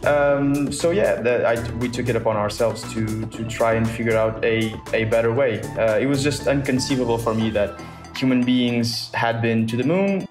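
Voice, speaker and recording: A young man from the south of Portugal, a founder answering interview questions thoughtfully with many pauses and restarts. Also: mid-range voice. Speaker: startup founder, guest. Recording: roomy sound with music bed.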